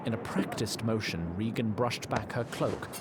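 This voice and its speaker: A man's voice: lowish voice, animated, moderate pace, heavy background noise, lightly acted. A man playing the storytelling narrator of a fantasy audio drama, with scripted, dramatic delivery. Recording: loud ship ambience behind narration.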